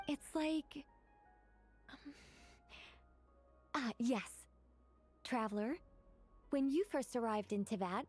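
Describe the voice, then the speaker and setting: A woman's voice: fairly high pitch, a little mellow. A woman voicing a knowing angel mage in a video game, chatty and explanatory while trying to stay solemn. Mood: bright, reassuring. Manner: explanatory, rambling, self-correcting.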